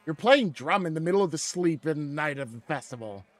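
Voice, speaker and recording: A man's voice: fairly high pitch, lively intonation, fairly quick, a loud crowd. A man speaking informally as game master, narrating the scene and teasing the players in casual banter.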